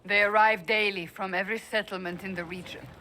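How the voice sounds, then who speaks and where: A woman's voice: medium pitch, steady tone, a little fast, bright, forceful tone, a little hiss or hum, natural voice, low-quality recording. An actress playing a resolute woman advisor of a holy order, speaking earnestly and firmly in a video game cutscene. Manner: earnest, firm, persuasive. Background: faint game music.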